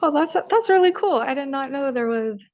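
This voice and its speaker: A woman's voice: sits high, expressive, normal speed, darkish tone, no echo. A woman interviewing a musician over a video call from a room full of equipment cases, asking hesitant, rambling questions. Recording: dull, dry lo-fi sound.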